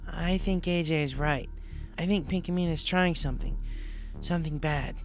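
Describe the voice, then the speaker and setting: A man's voice: a little high, normal speed, soft background music, pitched above the performer's own voice, natural voice. A man voicing a brash and loyal young female pony in a storybook reading. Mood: troubled, serious.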